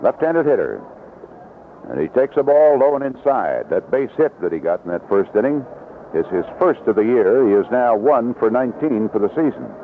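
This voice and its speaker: A man calling live baseball play-by-play on the radio in clipped, unhurried descriptive phrases. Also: medium pitch, sing-song. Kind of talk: live radio sports commentary. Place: outdoor ballpark broadcast position. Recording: vintage 1970s radio broadcast, lo-fi, noisy.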